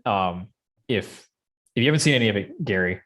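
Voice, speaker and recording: A man's voice: on the low side, expressive, brisk, a bit bright. Casual, rambling man chatting about movies. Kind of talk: casual chat about films and games.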